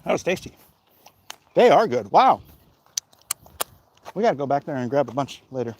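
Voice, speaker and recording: Man, slightly high voice, casual jokey vlog chat, outdoor background noise, clicks. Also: very animated, moderate pace, a little mellow. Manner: chatty, jokey, reading out viewer comments. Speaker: livestream host. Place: outdoors, walking through a neighbourhood. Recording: noisy outdoor recording, clicks and handling.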